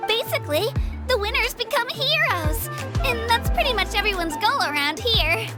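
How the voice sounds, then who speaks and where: A woman's voice: very high voice, big pitch swings, normal speed. A woman voicing a sweet, self-doubting young girl warrior in a video game, earnest, polite and a little hesitant. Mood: welcoming, eager. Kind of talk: English video game voice acting. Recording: music behind the voice.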